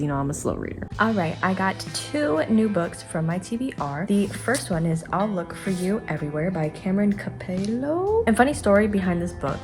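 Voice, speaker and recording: A young woman chatting casually about books as a vlogger, with an informal, slangy way of talking. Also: fairly low pitch. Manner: informal, youthful slang and asides. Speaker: book vlogger. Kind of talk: casual chatty book review to camera. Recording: voice over clearly audible background music.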